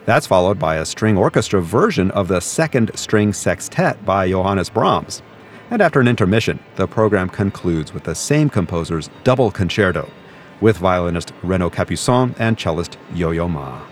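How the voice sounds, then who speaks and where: A man hosting a classical concert broadcast, reading scripted narration in a measured, even announcer's delivery. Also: deep voice. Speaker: radio concert host. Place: concert venue broadcast booth.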